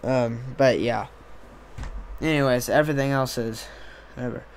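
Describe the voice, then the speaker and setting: A young man, slightly hoarse, chatting casually on a live stream into a desk mic on a boom arm, rambling with many fillers. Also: steady tone, moderate pace, a little hiss or hum. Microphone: desktop USB mic on a boom arm.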